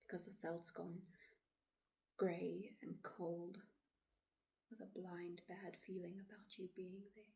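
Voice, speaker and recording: A woman acting a shaken city woman in a dramatic stage monologue, pleading her account to police in a halting, often hushed voice. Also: on the low side, flat delivery. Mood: haunted, fearful. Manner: halting, hushed, often breathy near-whisper.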